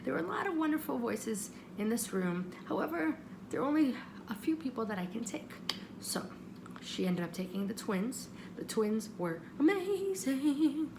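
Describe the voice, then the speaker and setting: A woman's voice: high-pitched, sing-song, normal speed, vocal fry. A woman telling a story in a casual, chatty vlog style, animatedly acting out what people said. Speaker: vlogger. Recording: noticeable background noise.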